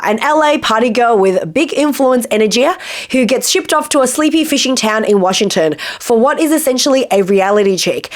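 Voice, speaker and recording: A woman reviewing books in a casual vlog, chatty, wry and opinionated, her voice a little croaky after being ill. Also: a little high. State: slightly croaky from illness. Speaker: book reviewer.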